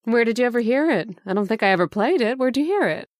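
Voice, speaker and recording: A woman playing a gentle, puzzled mother who tenderly questions and coaxes her young son, in old-time radio drama style. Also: on the high side, expressive, fast, dry recording, studio quality, natural voice. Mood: curious, coaxing.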